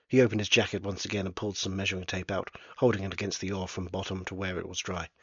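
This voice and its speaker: A man's voice: low voice, fairly bright tone, breathy edge, no echo, highs cut off. A man reading aloud from his own novel in a plain, unpolished way. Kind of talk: storybook narration.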